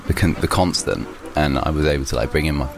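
A man's voice: extremely low pitch, quick pace, creaky, music in the background. A young man, a musician, answering interview questions casually, rambling with many restarts and fillers. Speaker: musician guest.

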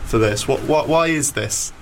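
A man's voice: medium pitch, normal speed, creaky, a little hiss or hum. A man hosting a casual football podcast, giggling often and asking hesitant questions. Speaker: podcast host.

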